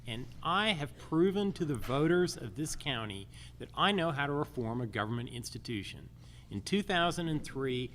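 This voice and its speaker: A man's voice: mid-range voice, fairly bright tone. American male candidate, mid-range voice, blunt political delivery, faint noise behind. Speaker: judicial candidate. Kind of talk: political forum closing statement. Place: live in a theater. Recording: noisy live event pickup.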